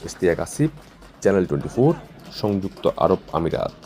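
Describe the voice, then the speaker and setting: A man's voice: deep voice, very animated, fast, loud music behind the voice. A man with a Bangladeshi accent reading a scripted news report as a reporter.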